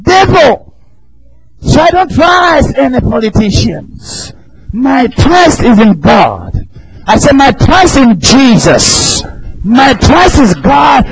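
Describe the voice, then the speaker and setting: East african guy preaching hard in a church, high voice swooping up and down, shouts a lot. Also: a little hiss or hum, poor audio. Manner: fervent, shouting, chuckling between lines. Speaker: visiting preacher. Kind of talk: sermon.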